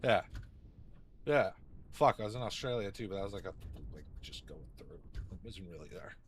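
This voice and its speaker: A man's voice: deep voice, expressive, moderate pace, a little hiss or hum. Rambling, profane male gaming streamer. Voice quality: rambling and profane, with lots of fillers. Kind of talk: casual livestream chat while gaming.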